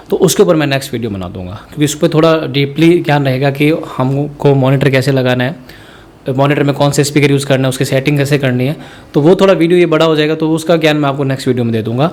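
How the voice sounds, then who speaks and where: Fast-talking man explaining sound gear. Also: medium pitch. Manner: rambling, explanatory, addresses viewers as friends. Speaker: musician teaching sound setup. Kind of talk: spoken tutorial.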